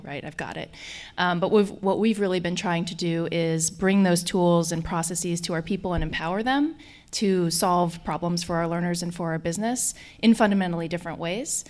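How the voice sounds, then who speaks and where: A woman from Colorado, a guest on a live podcast, talking things through thoughtfully and often checking in with "right?". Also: fairly low pitch. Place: conference hall with a live audience.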